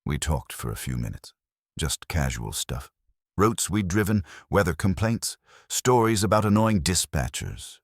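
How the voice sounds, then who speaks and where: Very deep-voiced man narrating horror stories. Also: very animated, measured pace, no echo, studio quality. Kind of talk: scripted first-person horror narration.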